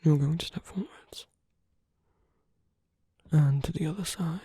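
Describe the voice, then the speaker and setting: A woman performing an ASMR roleplay as a suave, teasing, flirtatious villain, in a breathy, husky half-whisper with slow, coaxing murmurs. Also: mid-range voice, mellow. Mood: patient, guiding. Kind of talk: ASMR roleplay, intimate scripted monologue.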